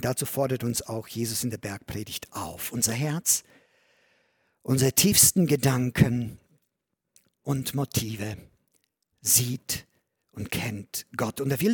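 A man preaching a sermon in a church, earnest, rising loud on key phrases and sinking to a near whisper. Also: mid-range voice, husky, no room sound, studio quality. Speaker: pastor.

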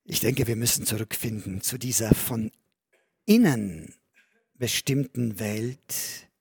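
A man preaching a sermon in a church, earnest, rising loud on key phrases and sinking to a near whisper. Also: on the low side, slow pace, vocal fry, husky, no room sound, studio quality. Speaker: pastor.

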